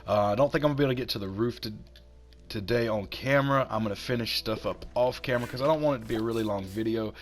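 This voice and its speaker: A man with a cold, hoarse and a little raspy, chatting casually while he builds in a video game and talks himself through each step. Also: mid-range voice, very fast. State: hoarse from sinus infection. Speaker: gaming channel host.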